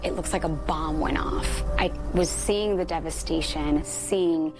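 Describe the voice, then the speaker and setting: A woman's voice: deep voice, a little slow. A woman from Puerto Rico, a news correspondent giving a personal account in an interview over music.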